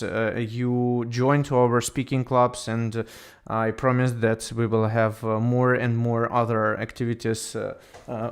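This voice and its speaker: Smooth-voiced man with a Russian accent. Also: medium pitch. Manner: encouraging, positive. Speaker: host of an English speaking club. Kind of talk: casual online conversation.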